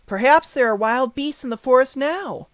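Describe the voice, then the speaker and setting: Gentle young girl, voiced by a man, high pitch, considerate, earnest, storybook, dry sound. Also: moderate pace, clear, resonant tone, no room sound. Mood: uneasy.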